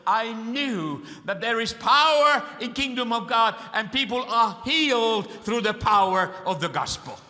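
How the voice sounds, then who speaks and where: A man interpreting a sermon phrase by phrase in an earnest, emphatic manner. His voice is high and bright. A long hall echo trails each phrase. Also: lively intonation, unhurried, hardly any pauses. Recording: reverberant hall.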